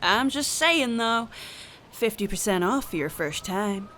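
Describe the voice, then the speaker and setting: A woman's voice: mid-range voice, expressive, a little slow, a bit bright, slight background noise. A flirtatious bodyguard, voiced by a woman, chats in a breezy, teasing manner, with a voice that sits in the middle range. She tells her stories with casual swagger in an audio roleplay. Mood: flirty. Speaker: side character in an audio roleplay.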